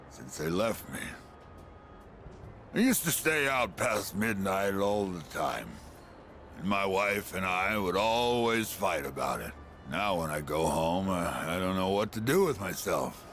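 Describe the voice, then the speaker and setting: An actor playing a gruff, lonely, middle-aged drunken ex-businessman in a video game, with a blustering, slurred drawl. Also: deep voice, fairly bright tone, full character voice. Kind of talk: English video game voice acting.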